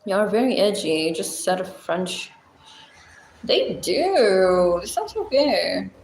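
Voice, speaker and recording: A Moroccan woman with a Moroccan accent, hosting a casual livestream with a blunt, chatty, deep-voiced way of talking and frequent laughs. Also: very animated, slow pace, creaky, darkish tone, echoey room, slight background noise, poor audio. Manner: chatty, blunt, laughs often, thinks aloud. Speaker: livestream host. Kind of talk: casual livestream chat reading comments.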